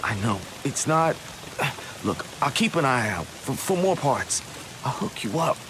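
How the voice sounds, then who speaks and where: An actor playing a talkative, nervous camp mechanic in a video game cutscene, rambling and stammering when cornered. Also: male voice, mid-range voice, sing-song, a little slow. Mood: apologetic. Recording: clear rain-like noise behind.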